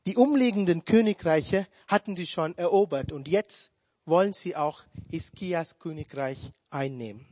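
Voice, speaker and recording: A man whose first language is Tamil, preaching a sermon in a slow, deliberate way with touches of humor. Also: fairly high pitch, darkish tone, no echo.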